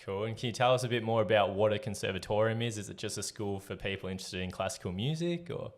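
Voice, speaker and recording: A man's voice: fairly low pitch, fast talker. A man hosting a casual podcast interview, upbeat and encouraging. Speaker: podcast host.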